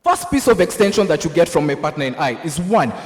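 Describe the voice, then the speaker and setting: A male university debater in his twenties speaks with a Kenyan accent in a notably high voice. He argues his case emphatically, as in a competition round. The sound rings with the long reverb of a large hall. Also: sing-song, fast. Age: 20s. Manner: emphatic, argumentative. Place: indoor university hall, at a podium.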